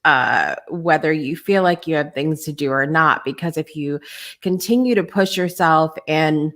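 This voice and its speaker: A woman hosting a live podcast, talking in a casual, candid way with lots of ums and restarts, her voice hoarse and lower than usual. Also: a little slow, fairly bright tone. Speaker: podcast host. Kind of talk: casual live-show chat.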